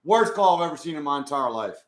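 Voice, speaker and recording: A young man with a hoarse voice, chatting casually with his live chat as he watches a football game. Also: a little high, expressive, fast talker, echoey room. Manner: chatty, swears, talks to chat. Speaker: live stream host.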